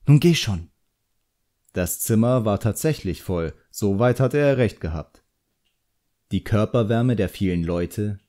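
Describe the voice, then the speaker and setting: Male narrator for a fantasy audiobook, lowish register, soft dark tone. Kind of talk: scripted narration of a fantasy novel.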